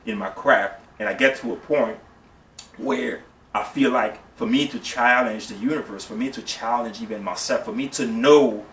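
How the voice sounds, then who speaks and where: A man's voice: medium pitch, normal speed, fairly bright tone. Earnest Haitian man explaining at length. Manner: earnest, explanatory, repeats "you see what I'm saying". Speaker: guest. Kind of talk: casual discussion. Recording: echoey room, mic at a distance, faint noise.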